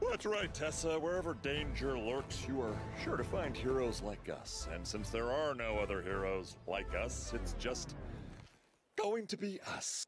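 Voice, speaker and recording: A man's voice: mid-range voice, big pitch swings, measured pace, over music. A man voicing a cocky, goofy adventurer pilot in a video game, boastful and sure he is a hero.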